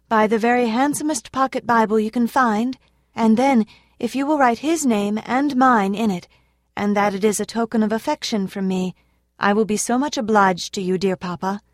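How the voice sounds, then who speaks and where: A woman's voice: a little high, moderate pace, no echo, clean studio sound, pitched above the performer's own voice, close to an everyday voice. A woman voicing an eager little girl. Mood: pleading. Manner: pleading, soft and eager. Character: sweet earnest little girl, gentle and devout.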